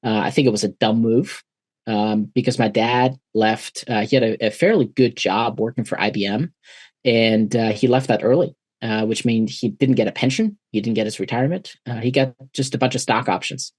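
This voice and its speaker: Forties guest, German native speaker, middle pitch, dry close sound, thinks out loud with lots of uhs. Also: male voice, normal speed, breathy edge, no room sound. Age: 40s. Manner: thoughtful, many uh fillers and restarts. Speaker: podcast guest. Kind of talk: reflective interview conversation.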